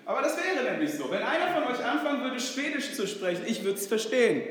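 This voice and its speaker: A man with a fairly high voice preaches in a loose, chatty way, peppering his talk with fillers and little tag questions. His first language is Swedish. The sound is very roomy, with a long reverb trailing behind every phrase. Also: very animated, fairly quick, a bit bright. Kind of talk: informal sermon. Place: church hall.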